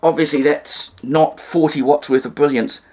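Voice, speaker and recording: A man's voice: a little high, fairly even intonation, moderate pace, darkish tone. A man giving an informal, hands-on demonstration, explaining things step by step in a somewhat hesitant way. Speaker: hobbyist presenter demonstrating radio repair.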